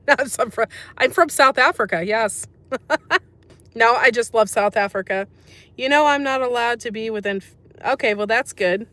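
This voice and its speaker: A woman's voice: on the high side, moderate pace, pushed and bright, no room sound. A woman from America hosting a casual livestream, chatting about herbal remedies and answering live chat messages with frequent laughs. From USA. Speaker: livestream host.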